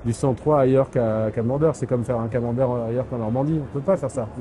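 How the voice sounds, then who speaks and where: A middle-aged man, a motorcycle company executive, answering interview questions with quick enthusiasm and many fillers. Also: medium pitch, warm tone, slight background noise. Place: dark exhibition stand, indoors. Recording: background music.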